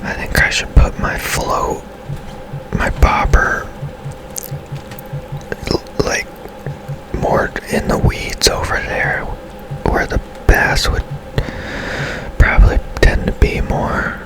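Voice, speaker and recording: A man giving hushed ASMR game commentary in a breathy, unvoiced whisper, musing as he plays in an unhurried way. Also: poor audio. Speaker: gaming streamer.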